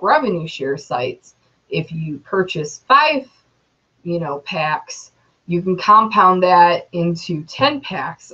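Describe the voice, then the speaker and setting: Young woman, twenties, slow rambling vlog talk, lowish voice, dull lo-fi sound. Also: a bit bright. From Metro Detroit, Michigan, USA. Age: 20s. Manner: rambling, many ums and you knows. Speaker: YouTuber.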